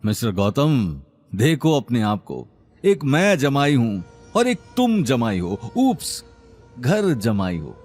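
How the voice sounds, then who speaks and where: A man's voice: mid-range voice, huge pitch swings, a little mellow, faint music behind, exaggerated voice. A man voicing an arrogant young bridegroom in an audio drama, mocking and boastful. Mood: mocking, contemptuous. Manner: mocking, boastful, drawn-out taunts. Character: arrogant, sneering young bridegroom taunting his rival. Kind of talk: Hindi audio drama acting.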